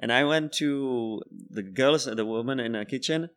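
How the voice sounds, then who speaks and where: A man in his thirties from the Czech Republic, a bartender guest in an interview, speaking English as a second language and telling animated stories with laughter. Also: medium pitch, normal speed. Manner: animated storyteller, laughs while telling anecdotes. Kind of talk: casual interview storytelling.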